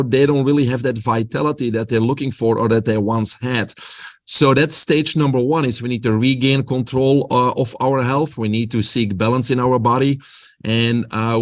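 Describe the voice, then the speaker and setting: A man with a Belgian accent, a guest on a podcast interview, explaining his health coaching with an upbeat motivational-speaker delivery. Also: mid-range voice.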